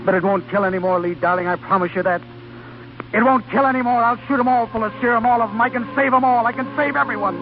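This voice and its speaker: An actor playing an earnest, emotionally intense doctor and devoted husband, hesitant and stammering, in an old-time radio drama. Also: male voice, very high voice, fast, noisy background. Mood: desperate resolve. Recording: dull vintage radio recording, faint music.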